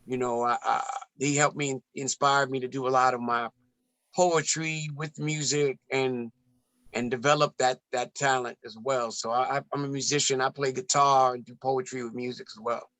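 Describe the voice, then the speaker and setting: A man from the United States, raised in Ethiopia, talking as a poet and musician guest in a reflective interview, measured and rhythmic with restarts. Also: mid-range voice, fairly even intonation, a bit bright, dry recording.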